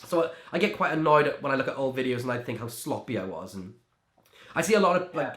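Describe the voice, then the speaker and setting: Young Welsh man, casual chatty interviewee, room echo, mid pitch. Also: lively intonation, fast, a bit bright. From Wales. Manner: chatty, lots of "like" and restarts. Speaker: magician and creator. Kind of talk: interview answers. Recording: echoey room.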